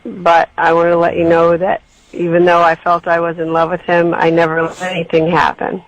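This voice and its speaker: A woman in her twenties calling a radio show, telling her story haltingly. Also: on the low side, completely flat delivery, dull audio. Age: 20s. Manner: hesitant, halting. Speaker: caller.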